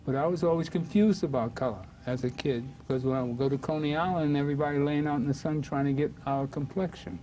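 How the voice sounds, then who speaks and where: Older Harlem man, mellow rambling host. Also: on the high side, steady tone, quick pace, hardly any pauses, a little hiss or hum, low-quality recording. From Harlem, New York. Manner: rambling, laughs often, many fillers. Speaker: talk show host. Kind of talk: casual reminiscing chat.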